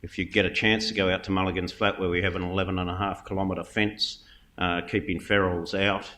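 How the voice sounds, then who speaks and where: An Australian man introducing the next speaker with relaxed, wry remarks and frequent fillers. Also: low-pitched, a little fast.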